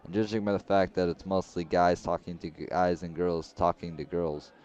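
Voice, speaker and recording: A man's voice: low-pitched, flat delivery, moderate pace, a little mellow, slight background noise. A young man reading a visual novel aloud and making wry, joking asides. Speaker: gaming video creator. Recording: slightly dull lo-fi recording.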